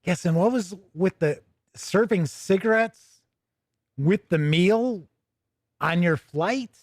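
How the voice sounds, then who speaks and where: A man's voice: high voice, lively intonation, takes it slowly, no room sound, studio quality. A middle-aged American man hosting a podcast in casual, rambling chat, often breaking off and restarting his thoughts. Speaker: podcast host.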